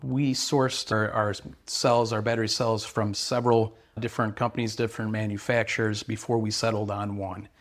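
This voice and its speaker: A man's voice: lowish voice, little pitch movement, moderate pace, hardly any pauses. A man, an earnest, sales-minded company representative explaining products and service in interview answers.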